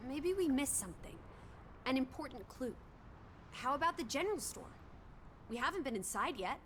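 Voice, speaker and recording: A woman's voice: high voice, animated, normal speed, a little hiss or hum. An actress playing a playful, teasing young American woman from the 1920s in a video game, full of jaunty old-fashioned slang. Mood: curious.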